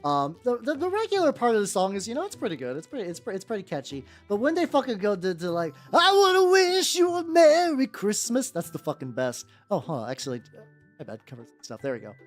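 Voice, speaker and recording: A young man with a relatively high voice chatting casually on a livestream while painting miniatures, rambling and often repeating himself. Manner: rambling, repeats words, laughs often, squeals in playful high bits. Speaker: VTuber streamer. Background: soft background music.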